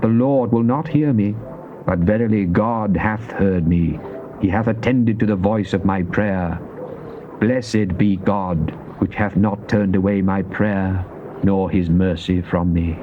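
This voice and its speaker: A man giving a solemn, theatrical, declamatory dramatized reading of scripture. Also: on the low side. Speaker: Bible reader. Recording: dull, lo-fi cassette with faint noise.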